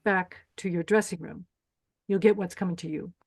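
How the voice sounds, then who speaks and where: A woman's voice: on the low side, steady tone, moderate pace, a little mellow, breathy edge. A woman playing a cold, commanding older pageant organizer with a menacing, clipped manner in a screenplay table read. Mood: stern.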